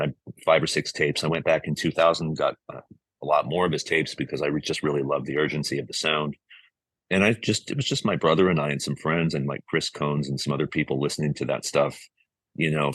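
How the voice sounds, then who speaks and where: A man's voice: very deep voice, fairly bright tone, breathy edge, no echo. A middle-aged American man giving reflective interview answers, earnest and often searching for his words. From United States, San Francisco Bay Area. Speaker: interview guest, musician and music compiler.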